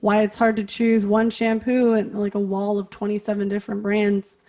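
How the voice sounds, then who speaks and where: A young woman hosting a podcast, bubbly and playful, with quick laughs and silly asides. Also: mid-range voice, monotone, warm tone. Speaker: podcast host. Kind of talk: casual podcast chat.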